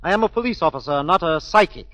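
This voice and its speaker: An actor playing a formal, officious police inspector with clipped 1940s radio delivery. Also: male voice, fairly high pitch, animated, fast talker, fairly bright tone. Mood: brisk, formal. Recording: muffled 1940s radio broadcast.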